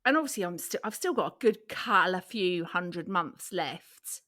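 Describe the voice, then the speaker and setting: A woman in her late forties co-hosting a casual chat podcast, talking in a reflective, halting way. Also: on the low side, animated, heavy background noise. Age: 40s. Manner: reflective, halting, restarts sentences. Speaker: podcast co-host.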